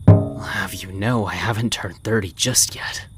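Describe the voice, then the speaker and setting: A man's voice: on the low side, a little slow, over loud music, natural voice. A man playing a casual, teasing smoker in his late twenties for a manga dub, with a wry manner. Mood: teasing, reassuring. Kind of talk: anime-style manga dub acting.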